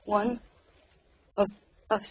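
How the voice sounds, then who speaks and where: A woman's voice: extremely slow, dry recording. An actress playing a frightened young woman in a 1940s radio horror drama. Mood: fearful. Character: frightened young woman facing a deadly suitor. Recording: dull, dry old radio recording.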